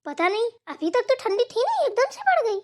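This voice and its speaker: A woman's voice: extremely high pitch, big pitch swings, a little fast. A woman voicing an obliging elder daughter-in-law in a family cartoon, speaking deferentially and agreeably. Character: dutiful, obliging elder daughter-in-law serving her mother-in-law. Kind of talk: amateur Hindi family cartoon dialogue.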